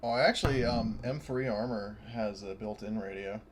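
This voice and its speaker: A man's voice: fairly low pitch, normal speed. A man talking casually as a player during a tabletop roleplaying game. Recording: voice chat with faint noise.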